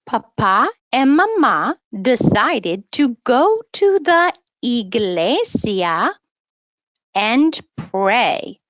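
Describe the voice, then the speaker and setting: A woman reading a bilingual children's story aloud in a teacherly way, with simple, deliberate phrasing. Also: a little high, fairly bright tone, dry recording, poor audio. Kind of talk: read-aloud bilingual children's story with word-repeat prompts.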